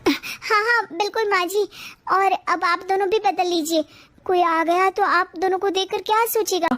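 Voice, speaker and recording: A woman plays a cheeky young daughter-in-law in a family cartoon, very high in pitch. She is proud and pert, quick to complain. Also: fairly even intonation, bright, forceful tone. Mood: conciliatory.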